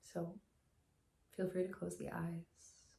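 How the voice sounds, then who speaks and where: Low, gravelly woman guiding meditation. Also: level tone, echoey room. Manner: gentle, soothing, unhurried with long pauses. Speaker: meditation teacher. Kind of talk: guided meditation, soft instructional narration.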